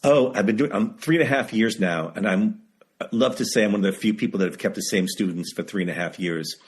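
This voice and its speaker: Husky, low-voiced man of seventy. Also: fast talker. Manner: emphatic, repeats phrases for stress. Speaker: guest, author and writing teacher. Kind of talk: candid interview conversation. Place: video call.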